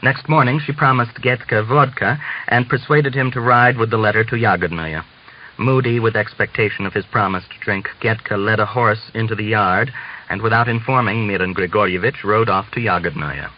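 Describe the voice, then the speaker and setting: A man reading a literary novel aloud as scripted narration, in a measured manner. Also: lowish voice. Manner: measured, acts out dialogue. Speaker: audiobook reader. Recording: muffled lo-fi audio with clear hiss.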